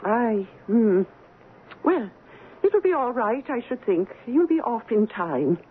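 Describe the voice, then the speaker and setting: Casting: an ominous, superstitious Scottish housekeeper for radio drama, lively intonation, thin vintage broadcast sound. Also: female voice, on the high side, slow. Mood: guarded. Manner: ominous, dialect-laden. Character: superstitious, foreboding Scottish housekeeper woman, guarded and blunt. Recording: muffled, noisy 1970s radio broadcast.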